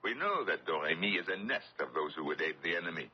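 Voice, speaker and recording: An actor playing a sarcastic, commanding French collaborationist officer who menaces prisoners in a radio drama. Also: male voice, low voice, lively intonation, quick pace, breathy edge. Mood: sarcastic, menacing. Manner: commanding, sneering. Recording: dull lo-fi old radio recording.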